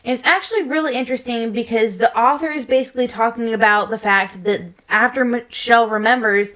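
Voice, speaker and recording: Blunt, higher-voiced woman podcaster. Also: fairly even intonation, brisk, a bit bright. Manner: blunt, informal, sweary. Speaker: podcast co-host. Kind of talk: casual chat.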